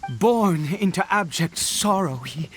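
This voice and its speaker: A man voicing a fanatical, grief-broken nobleman father in a video game, theatrical and halting in delivery. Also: high-pitched, lively intonation, faint music behind. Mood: exalted. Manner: theatrical, halting, with breaks into laughter. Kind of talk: dramatic video game voice acting.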